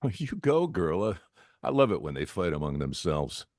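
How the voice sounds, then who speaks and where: A mischievous joker played by a man with a deep voice and very animated pitch. He tosses out cheeky wisecracks as the troublemaking housemate in a comedy script read. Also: moderate pace, no room sound, clean studio sound. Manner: joking, mischievous.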